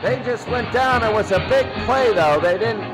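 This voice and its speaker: A man's voice: very high voice, normal speed, over music, rough recording. A man doing football play-by-play as the announcer, often repeating his phrases. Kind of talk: amateur football play-by-play commentary.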